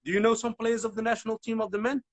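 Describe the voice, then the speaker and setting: A man's voice: high voice, little pitch movement, racing, no echo. A man, a Berber speaker, interviewing casually and rambling with lots of repeated words. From Netherlands.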